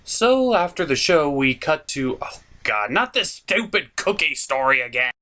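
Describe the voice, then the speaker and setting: A man's voice: fairly high pitch, sing-song, a little slow, bright, forceful tone. A man hosting a scripted comedic review, with theatrical, exasperated reactions. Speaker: host of a review show.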